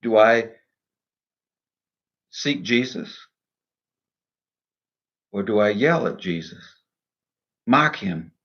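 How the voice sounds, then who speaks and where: A man speaking in a measured, earnest, deliberate way, in the style of a devotional poetry reading and reflection. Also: on the low side, lively intonation, vocal fry, a bit bright, muffled recording. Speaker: minister and poet reading own poem.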